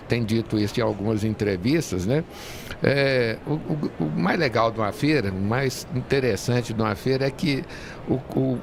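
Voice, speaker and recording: A Brazilian man giving a casual interview as an association leader at a trade fair, halting and repeating words. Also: mid-range voice. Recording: clear noise of a busy fair.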